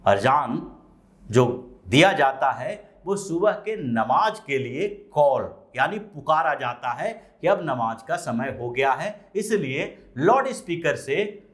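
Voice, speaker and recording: A man presenting news commentary, explaining the story in a deliberate way with drawn-out phrases and pauses. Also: fairly high pitch, lively intonation, fairly bright tone.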